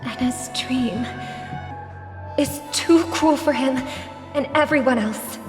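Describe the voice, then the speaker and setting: An actress playing a gentle, earnest young idealist woman in a video game, speaking in heartfelt, measured phrases. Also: high voice. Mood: earnest, resolute. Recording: echoing reverb, clear music underneath.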